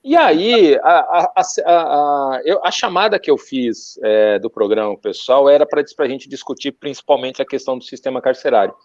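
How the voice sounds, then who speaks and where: A man hosting an interview, relaxed and slangy, repeating words as he searches for them. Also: mid-range voice, moderate pace, few pauses, no room sound. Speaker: host. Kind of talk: casual interview chat. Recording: dull, dry sound.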